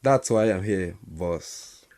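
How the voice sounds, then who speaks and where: A loyal Nigerian company adviser in a radio drama. His voice is low and mellow, and he speaks in a measured, frank way to his boss. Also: lively intonation, no echo, clean studio sound, exaggerated voice. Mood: measured, candid.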